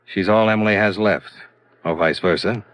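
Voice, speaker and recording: An actor in a 1950s radio drama playing a blunt, hard-boiled insurance investigator who talks in a terse, matter-of-fact way. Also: male voice, lowish voice, moderate pace, no echo, lightly acted. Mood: pressing, blunt. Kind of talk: 1950s radio detective acting. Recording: dull vintage radio recording.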